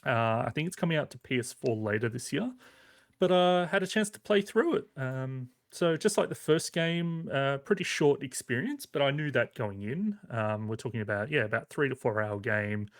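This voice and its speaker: Guy on a games podcast, mid pitched voice, chatty review, kinda rambly but into it. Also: animated, normal speed. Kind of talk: casual conversational game review.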